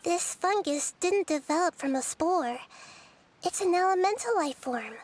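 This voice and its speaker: Wise girl goddess: high, soft and reassuring, calm and thoughtful. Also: unhurried, a little hiss or hum. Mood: gentle, thoughtful. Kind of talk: video game voice acting.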